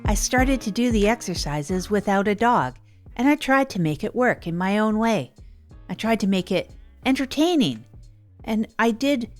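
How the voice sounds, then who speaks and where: A woman's voice: medium pitch, lively intonation, moderate pace, soft background music, close to an everyday voice. A woman playing an earnest, self-effacing radio host who tells her misadventures in a chatty, hesitant, improvised way. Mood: pleased, reflective. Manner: hesitant, rambling, chatty, chuckles at herself. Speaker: narrator and radio show host. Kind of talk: improvised first-person audio drama monologue.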